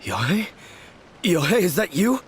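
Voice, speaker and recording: A man's voice: high voice, very animated, a little slow, a little hiss or hum. An actor voicing a meek, anxious young would-be swordsman in a video game, earnest and self-deprecating. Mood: dazed, distressed. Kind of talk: video game character acting.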